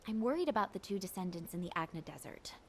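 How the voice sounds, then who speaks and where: A woman's voice: mid-range voice, big pitch swings, quick pace, a little mellow, a little hiss or hum. A woman voicing an earnest, caring military commander giving formal, composed mission briefings in a video game. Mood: worried, confiding.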